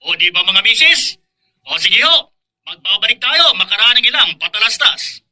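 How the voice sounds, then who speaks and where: A man's voice: high voice, expressive, normal speed, pushed and bright, exaggerated voice, low-quality recording. A man playing a preachy, chatty Filipino radio host giving emphatic advice in a drama. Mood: earnest advising.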